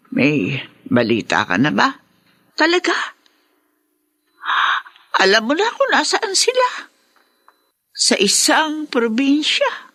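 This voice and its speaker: A woman with a Filipino accent acting an older, secretive, strong-willed mother in a radio drama, speaking haltingly. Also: mid-range voice, a bit bright, dry recording, exaggerated voice.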